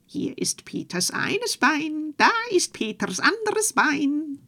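A woman doing a high, mocking goblin voice. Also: very animated, slow, pushed and bright, exaggerated voice. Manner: mocking, singsong. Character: teasing little male goblin in green stockings.

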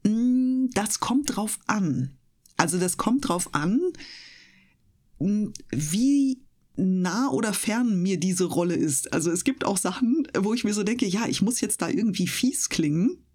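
A woman's voice: mid-range voice, animated, measured pace, darkish tone. A woman chatting casually as an interview guest, explaining things thoughtfully in colloquial, youthful slang. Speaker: interview guest, voice actress and streamer. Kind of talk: casual radio interview chat.

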